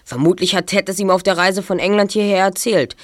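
A man's voice: sits high, fairly even intonation, a little fast, no echo, studio quality, lightly acted. A man playing a keen, observant teenage boy detective in an audio drama, eagerly reasoning aloud. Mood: eager, suspicious. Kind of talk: amateur audio drama acting.

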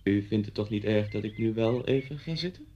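A man's voice: lowish voice, fairly quick, darkish tone, slight background noise, close to an everyday voice. An actor playing a genial, chatty neighbourhood policeman in a Dutch radio play, familiar yet politely deferential. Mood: friendly, reminiscing. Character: genial male neighbourhood policeman, former pupil, a father. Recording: dull lo-fi radio play recording.

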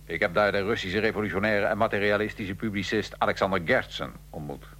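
A man acting in a radio drama as a defiant, wry nineteenth-century Italian revolutionary prisoner, telling his story gravely and with irony. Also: fairly low pitch, fast talker, fairly bright tone, a little hiss or hum, lightly acted. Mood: grave, wry recounting.

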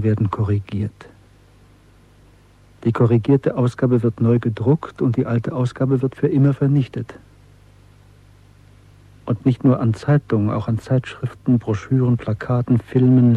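Guy playing a bitter middle-aged secret rebel in a radio play, intense n deliberate, bit husky, dull dry sound. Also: medium pitch, no room sound, close to an everyday voice. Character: disillusioned, bitter man of nearly forty, secretly rebellious clerk. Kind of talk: German radio drama acting. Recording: dry, muffled old radio production.

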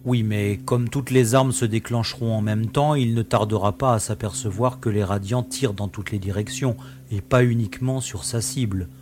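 A man's voice: lowish voice, steady tone, normal speed, background noise, close to an everyday voice. A man playing a practical, wry space adventurer in a science-fiction audio drama, with a matter-of-fact delivery.

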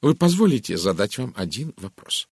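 A man voicing a polite, methodical little Belgian detective in an audiobook, courteous, measured and deliberate. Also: on the low side, very animated, a little mellow, husky, no echo, exaggerated voice. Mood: polite, probing. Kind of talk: audiobook character voice.

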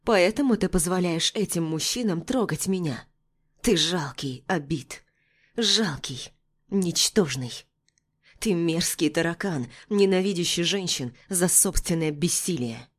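A woman narrator voicing a defiant, wronged heroine in an audiobook, speaking emphatically and deliberately. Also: fairly low pitch, lively intonation. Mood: scornful.

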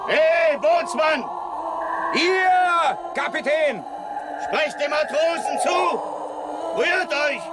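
An actor playing a ship's officer bellowing orders in a storm, in a 1960s radio drama. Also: male voice, extremely high pitch, drawn-out words, pauses often, over a noisy crowd, very noisy, full character voice. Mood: urgent. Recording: distant shouts buried under loud storm effects.

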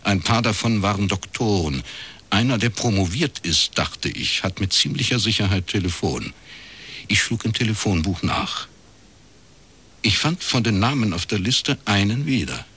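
A man's voice: lowish voice, expressive, moderate pace, a bit bright, husky. An actor in his forties playing a wry, world-weary East German private detective in a radio crime drama, talking in a laconic, ironic way. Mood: dry, matter-of-fact. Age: 40s. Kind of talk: scripted radio crime drama narration and dialogue.

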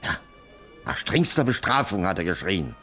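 Resentful middle-aged male suspect in radio crime drama; deepish, gruff, highly animated, defiant. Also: moderate pace, soft background music, full character voice.

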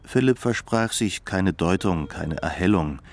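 A man's voice: low voice, animated, a little slow. A man narrating a literary radio play in scripted, novelistic prose.

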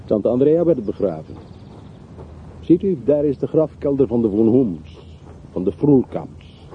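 A man's voice: mid-range voice, sing-song, measured pace. An actor playing a bitter, sardonic man revisiting the town of his wealthy childhood in a radio play. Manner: sardonic, brooding, rhetorical. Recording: muffled, noisy old radio play recording.

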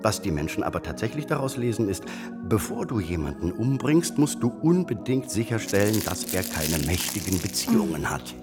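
An actor playing a bookish, wry hospital patient in a radio play, explaining things with dry opinions. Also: male voice, on the low side, big pitch swings, moderate pace, music in the background. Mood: earnest, explaining. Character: bookish, wry male hospital patient, science fiction enthusiast.

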